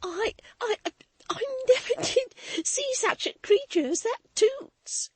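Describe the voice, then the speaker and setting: A woman voicing an excitable young maid who talks volubly between sobs and laughs. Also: takes it slowly, no echo, exaggerated voice. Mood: hysterical. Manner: shrill, sobbing, gushing.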